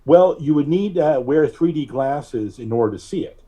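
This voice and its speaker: Guy guest on an interview, kinda husky and mellow, explains stuff with long pauses. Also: a little high, animated. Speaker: guest, filmmaker. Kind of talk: conversational interview storytelling.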